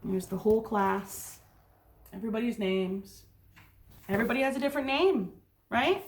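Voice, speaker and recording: A woman's voice: fairly high pitch, moderate pace, a little hiss or hum. A woman teacher reading a picture book aloud to young children, explaining things and checking in with questions. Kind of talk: read-aloud storytime with asides to children.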